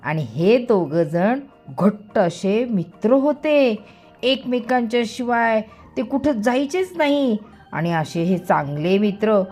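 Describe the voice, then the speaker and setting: A woman narrates a children's story at a slow pace and a medium pitch. She is expressive, stretching and repeating words for emphasis. Music plays behind her voice. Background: background music.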